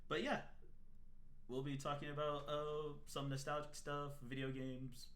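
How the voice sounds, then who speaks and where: A man's voice: on the high side, level tone, measured pace, a bit bright, a little hiss or hum. A man in his twenties hosting a casual talk show, loose and rambling, poking fun at himself. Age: 20s. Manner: loose, rambling, self-deprecating.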